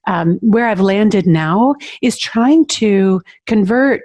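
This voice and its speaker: A woman's voice: medium pitch, lively intonation, takes it slowly, a little mellow. Thoughtful middle-aged woman guest. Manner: thoughtful and reflective, with frequent hesitations and self-questions. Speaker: nutrition coach, podcast guest. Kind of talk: casual interview chat.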